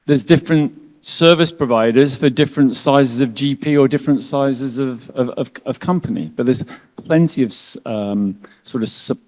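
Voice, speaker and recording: A man on a finance panel, talking in a halting way with many restarts and a self-deprecating touch. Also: mid-range voice, darkish tone, long reverb tail. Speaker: lender panelist. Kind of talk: panel discussion.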